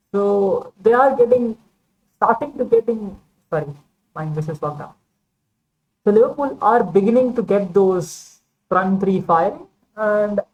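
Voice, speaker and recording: A woman's voice: medium pitch, darkish tone, muffled recording, a little hiss or hum. A woman talking football in a casual vlog monologue, rambling and hedging with many fillers and self-corrections. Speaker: football vlogger.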